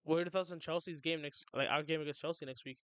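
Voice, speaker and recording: A young man streaming, chatting casually and excitably, tripping over his words. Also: on the high side, fast, no echo. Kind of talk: casual livestream chat.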